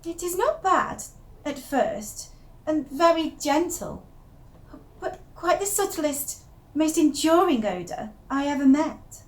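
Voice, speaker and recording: A woman performs an unravelling young wife in a dramatic monologue, her voice pitched high. She is intense and confiding, moving between hushed secrecy and emphatic, obsessive fascination, as if telling a diary what nobody else may know. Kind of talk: dramatic first-person monologue reading.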